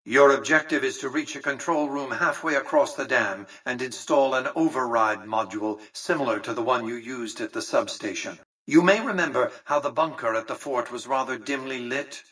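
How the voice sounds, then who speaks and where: An imperious, elderly male industrialist from a video game. His husky voice comes through a radio-like filter, slightly removed from the mic. He speaks with measured, calculating formality and condescending authority, in a forceful, crisp-edged tone. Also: mid-range voice, distant mic, low-quality recording. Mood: measured, explanatory. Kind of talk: scripted video game character dialogue.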